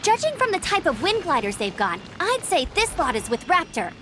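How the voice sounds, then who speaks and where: A woman voicing a level-headed young adventurer in a video game, calm and matter-of-fact. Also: very high voice, normal speed. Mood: steady. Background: game music.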